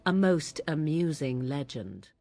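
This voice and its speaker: A woman's voice: sits low, very animated, measured pace, a little mellow, heavily acted. An actress playing an aloof, proud young witch shapeshifter in a fantasy game, with archaic, formal and haughty phrasing. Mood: wry, aloof.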